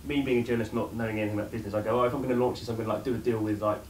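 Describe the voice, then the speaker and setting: Man, interviewer, fast talker, tentative casual phrasing, distant from mic, noticeably roomy sound, background noise. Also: fairly low pitch, fairly even intonation. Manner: tentative, rambling questions softened with "kind of". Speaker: journalist interviewer. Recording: roomy, far from mic, noisy surroundings.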